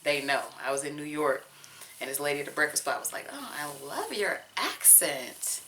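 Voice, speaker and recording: Low-voiced Southern woman chatting to camera. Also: sing-song, normal speed, bright, forceful tone, a little hiss or hum, poor audio. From Georgia, US. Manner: candid, chatty, talks straight to viewers with casual slang. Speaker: teacher and vlogger. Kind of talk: casual chatty vlog while detangling hair.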